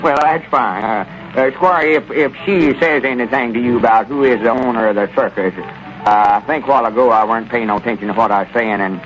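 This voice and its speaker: A man's voice: mid-range voice, a little fast, a bit bright, natural voice. Man playing a rustic old storekeeper, drawl, stammering, rambling, muffled old radio sound. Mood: flustered. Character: rustic old country storekeeper, smitten and flustered. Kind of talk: 1940s radio acting. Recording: muffled vintage radio recording.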